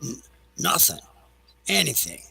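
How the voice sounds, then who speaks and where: An actor playing a weary, fatalistic old clerk in an audio drama, rambling and grumbling in a resigned way. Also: male voice, mid-range voice, sing-song, unhurried, vocal fry, sharp tone, heavily acted. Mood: weary, gloomy. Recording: noisy recording with background ambience.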